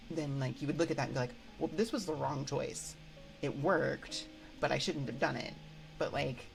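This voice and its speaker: A woman hosting a casual livestream chat, thinking aloud in a hesitant, halting way into a close microphone. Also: rumbling low, gravelly, low-quality recording. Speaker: livestream host. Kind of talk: casual livestream chat, answering viewer comments. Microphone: close binaural microphone.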